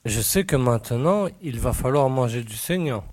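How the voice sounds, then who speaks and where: An actor playing a bold, sly young reporter in an audio drama, self-assured and mocking. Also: male voice, medium pitch, lively intonation, normal speed, a little mellow, no room sound, natural voice.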